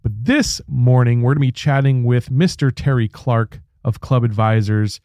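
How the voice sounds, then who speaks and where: A man hosting a radio interview show, chatting casually and warmly with his guest, with fillers and restarts. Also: mid-range voice, steady tone, normal speed, no room sound.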